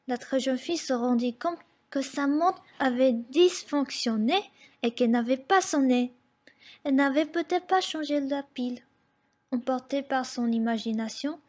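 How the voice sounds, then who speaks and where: A woman's voice: high-pitched, darkish tone. A woman reading scripted literary narration for a radio drama.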